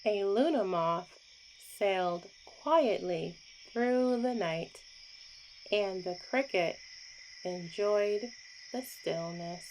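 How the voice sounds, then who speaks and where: Very slow, animated woman storyteller. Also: mid-range voice, slight background noise, rough recording. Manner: slow deliberate phrasing with pauses, hushing on refrains. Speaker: children's story reader. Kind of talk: expressive read-aloud bedtime storytelling.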